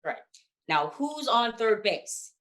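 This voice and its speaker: A woman's voice: on the high side, very animated, takes it slowly, a bit bright, roomy sound, exaggerated voice. A woman playing an exasperated, bewildered comic sidekick in a 1940s radio comedy routine, stammering and insistent in her questions. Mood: flustered, exasperated.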